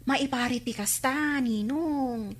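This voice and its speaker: A woman's voice: sits high, slow. A woman playing an earnest, worried young Filipino goddaughter in a radio comedy drama, questioning and protesting. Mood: worried, dismayed.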